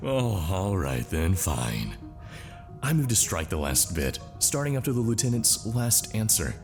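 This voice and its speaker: A man's voice: deep voice, very animated, measured pace, a little mellow, faint music behind. A man acting a condescending, sarcastic lieutenant who questions people at a military hearing. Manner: condescending, sardonic, interrogating. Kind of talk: screen drama acting.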